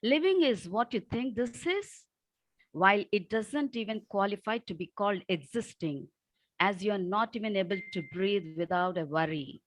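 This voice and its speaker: A woman's voice: mid-range voice, no echo, rough recording. A woman with a South Indian accent introducing and reciting poetry in an earnest, declamatory manner over a video call. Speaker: poet.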